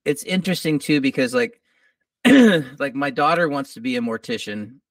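A man's voice: mid-range voice, normal speed, dry recording, far from the mic. Middle-aged male guest, slightly off-mic and dry, laid-back and a touch groggy. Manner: laid-back, lively, swears casually. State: a little groggy. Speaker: musician guest. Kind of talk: casual interview.

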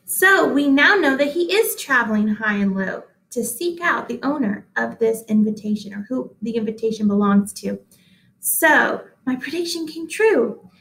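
A woman in her thirties reading a picture book aloud to children, deliberate and expressive, pausing to address listeners at home. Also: fairly high pitch, creaky. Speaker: read-aloud host, teacher. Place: small indoor office-like room. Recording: echoey small room.

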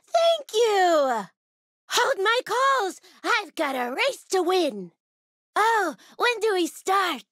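A woman voicing a bubbly, sweet, eager female monster racer in a video game, with an expressive, gushing delivery. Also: extremely high pitch, drawn-out words, no room sound, studio quality, exaggerated voice. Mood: eager, confident. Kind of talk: video game character voice clips.

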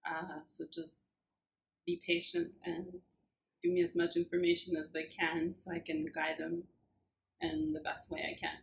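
Low-voiced woman in a small office. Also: flat delivery, vocal fry, a little hiss or hum, low-quality recording. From Argentina. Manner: plain, friendly, unhurried with fillers. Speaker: church administrative assistant. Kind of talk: casual interview answers. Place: small church office, indoors.

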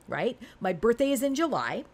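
A woman's voice: fairly high pitch, sing-song, normal speed. A woman in her forties giving an informal step-by-step lesson as an instructor, conversational and emphatic, often checking in with rhetorical questions. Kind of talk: informal screen-recorded lesson, explaining step by step.

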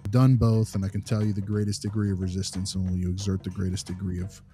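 A man's voice: low-pitched, little pitch movement, quick pace, dark tone, soft background music. A man talking through his opinions in a casual podcast discussion, repeating phrases for emphasis. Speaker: podcast co-host, behavior analyst.

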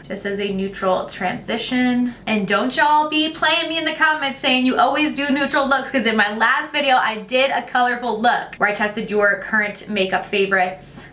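A young woman, talking through a makeup tutorial in a chatty, gushing way, her voice a little raspy today. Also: medium pitch, bright, forceful tone, echoey room, recorded from a distance, slight background noise. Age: young adult. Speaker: beauty vlogger. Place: bright home room with makeup shelves. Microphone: camera at close framing.

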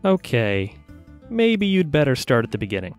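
A man's voice: mid-range voice, sing-song, moderate pace, no echo, faint music behind, lightly acted. A man voicing a caring, protective, direct male mage in an audio fiction drama. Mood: reassuring.

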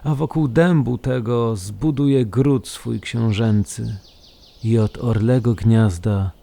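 An actor playing a solemn, devout Slavic prince in an audio drama, speaking in a stately, declamatory way. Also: male voice, mid-range voice, slow, creaky, mellow. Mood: solemn, reverent. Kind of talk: audio drama acting in archaic, elevated language.

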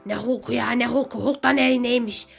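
A woman's voice: on the high side, fairly even intonation, brisk, vocal fry, pitched below the performer's own voice. Grumpy neighbour character acted by a woman, complaining, exasperated, children's skit, music behind. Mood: irritated, dismissive. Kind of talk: children's pretend-play skit.

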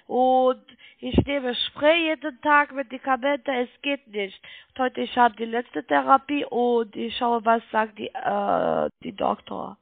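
A high-pitched woman with a foreign accent makes a telephone sales pitch as a non-native speaker. She sounds congested with a cold. Her voice comes over a thin phone line. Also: very animated, slow pace, gravelly, no room sound. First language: not German. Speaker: call center trading sales caller. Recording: muffled band-limited phone line.

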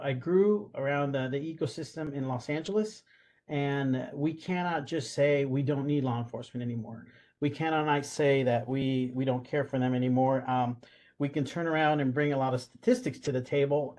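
Middle-aged man, earnest, halting with fillers, webcam audio. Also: mid-range voice, a little mellow. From Guatemala. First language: Spanish. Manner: earnest, many fillers and restarts. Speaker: panelist, school police association president. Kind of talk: online panel discussion. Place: home or office room, video call. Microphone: video call webcam.

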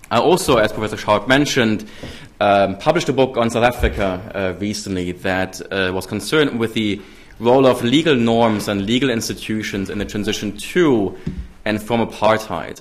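A man in his thirties with a German accent, giving a formal keynote lecture at a podium microphone in a large hall, measured with frequent ums. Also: fairly low pitch. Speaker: keynote speaker. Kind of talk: academic lecture.

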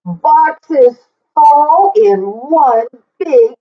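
A middle-aged woman reading a picture book aloud for children, expressively and deliberately, drawing out words and adding simple explanations. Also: sits high, expressive, highs cut off. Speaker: children's story reader and teacher.

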